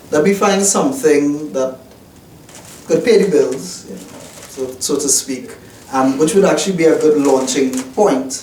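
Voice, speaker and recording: A young man answers interview questions thoughtfully, stopping and restarting often and leaning on "you know." His voice sits at an ordinary middle pitch. It is picked up from a distance in a roomy office, with some background hum. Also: warm tone. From Trinidad and Tobago. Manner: hesitant, many restarts and "you know" fillers. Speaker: newspaper reporter. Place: newspaper office. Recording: roomy, distant mic, faint noise.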